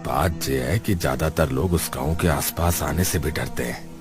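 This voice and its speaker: A man's voice: rumbling low, normal speed, music in the background. A man voicing a wary, hesitant middle-aged rustic tea-seller.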